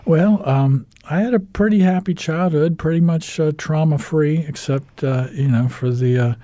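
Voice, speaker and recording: A man in his fifties with an American accent, telling his story with plenty of ums and uhs and a dry, wry humor. His voice is mellow and a little dark. It sounds close and dry on a studio microphone. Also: a little high, moderate pace, no room sound. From United States. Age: 50s. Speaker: radio show guest. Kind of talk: candid storytelling interview.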